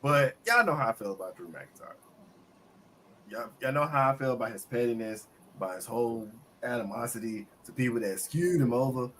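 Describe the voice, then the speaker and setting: A man with a slightly raspy voice, co-hosting a casual wrestling review and talking in animated bursts with lots of repeated words. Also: medium pitch, fairly quick, slight background noise. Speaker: podcast co-host.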